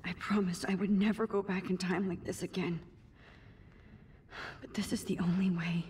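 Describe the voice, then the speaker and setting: An actress playing an anxious, bitterly determined teenage student in a video game, in a quiet, breathy inner monologue. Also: female voice, lowish voice, flat delivery, moderate pace, slight background noise, close to an everyday voice. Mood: bitter, resolved. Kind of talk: introspective video game acting.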